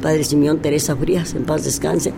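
A man's voice: on the high side, fairly even intonation, moderate pace, gravelly, background noise. A man with an Oaxacan Mexican accent, interviewed and recounting local lore in a hesitant way with many restarts. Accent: Oaxacan Mexican Spanish.

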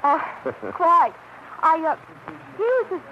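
A woman's voice: very high voice, slow, exaggerated voice. An American woman playing a teasing, guarded young artist and sweetheart in a 1940s radio drama. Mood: flustered. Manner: teasing, expressive, coy. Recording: noisy, muffled old radio broadcast.

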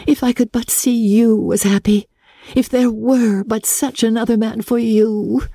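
A woman voicing a gentle, joyful, tender-hearted young Regency gentlewoman in an earnest, effusive way for an audiobook. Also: fairly high pitch, steady tone, a little slow, dry recording, pitched above the performer's own voice. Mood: joyful, breathless.

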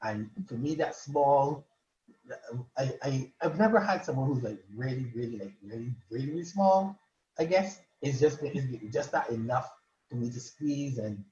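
A man's voice: medium pitch, animated, mellow. A man, a guest poet, chatting casually on a podcast and often stumbling over and repeating his words. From Barbados. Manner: halting, stammers and repeats words. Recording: roomy, dull lo-fi sound.

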